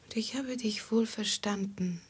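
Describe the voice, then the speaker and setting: An actress playing a forsaken, devoted lover, whispering tenderly in a 1950s radio play. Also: female voice, medium pitch. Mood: tender sorrow. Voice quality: breathy whisper. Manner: slow, tender, poetic. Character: forsaken, devoted young lover grieving softly.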